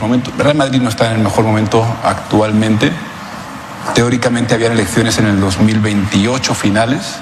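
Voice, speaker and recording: A man from Spain with a lowish voice answers questions in a reverberant, noisy conference venue. He speaks spontaneously, choosing words diplomatically, with hesitations and repeated phrases. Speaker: businessman answering press questions. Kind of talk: spontaneous public remarks. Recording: echoey room, clear background noise.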